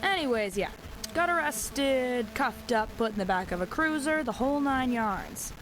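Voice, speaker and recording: A woman's voice: high voice, animated, moderate pace, over music, low-quality recording. A woman playing a stranded survivor in an audio drama, rambling and joking wryly into a radio in a conversational way. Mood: wry storytelling. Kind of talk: audio drama monologue sent as a radio broadcast.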